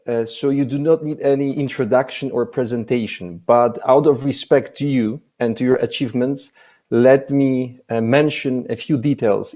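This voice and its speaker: Polish guy hosting a festival interview, lots of uhs, mellow dark voice. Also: medium pitch. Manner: hesitant, many filler sounds. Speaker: festival program director, interviewer. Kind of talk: formal online interview.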